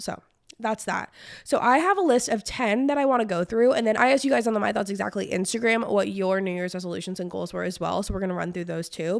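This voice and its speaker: A young woman hosts her own podcast with a voice worn hoarse by a cold. She chats casually and rambles, leaning on "like" and "um" as she talks. The sound is close and dry, with no room around it. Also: mid-range voice, no room sound. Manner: chatty, lots of "like" and "um". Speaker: podcast host. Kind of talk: casual solo podcast chat.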